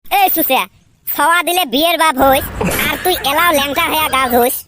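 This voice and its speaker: A man voicing a cheeky, grumbling village lad in a comedy cartoon, teasing in a northern Bengali Rangpur accent through a pitched-up filter. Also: a little fast, noisy background. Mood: complaining. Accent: northern Bengali (Rangpur region). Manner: teasing, colloquial banter.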